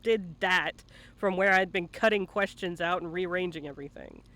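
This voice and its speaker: A man's voice: high-pitched, moderate pace, pushed and bright. A man hosting a casual live stream as interviewer, teasing and swearing playfully, now and then slipping into sing-song asides. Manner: playful teasing, profane banter. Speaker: interviewer and livestream host.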